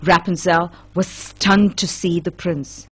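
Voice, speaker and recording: Husky, unhurried woman storyteller. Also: fairly low pitch, steady tone. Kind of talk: scripted children's fairy tale narration.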